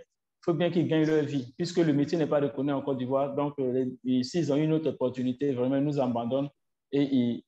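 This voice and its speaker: A man answering in a webinar interview, halting and repeating himself as he searches for words. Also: on the high side, mellow. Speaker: association leader guest. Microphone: video call. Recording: echoey, muffled online call audio.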